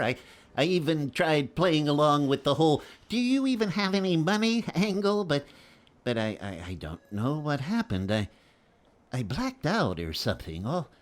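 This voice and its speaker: Man playing haunted ticket seller, stammering, apologetic, animated intonation, higher male voice, radio drama. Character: shaken, apologetic train ticket clerk. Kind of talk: audio drama acting.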